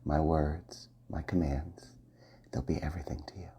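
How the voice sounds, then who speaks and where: A man giving a slow, soft and commanding hypnosis roleplay in the ASMR style, often dropping to a half-whisper. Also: a bass voice, steady tone, husky.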